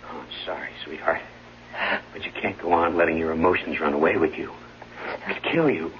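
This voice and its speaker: Deep-voiced placating husband, vintage radio. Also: male voice, a little fast. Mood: exasperated. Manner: soothing endearments, evasive. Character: smooth-talking, placating husband with a short temper. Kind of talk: 1970s radio drama acting. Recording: dull vintage radio broadcast, faint noise.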